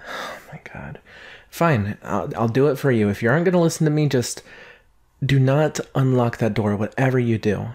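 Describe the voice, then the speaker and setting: A young man playing an anxious, protective vampire boyfriend in an intimate roleplay audio drama, speaking in an earnest, halting way with sighs. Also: mid-range voice, animated, darkish tone. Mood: urgent, tense. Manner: halting, sighing, earnest delivery.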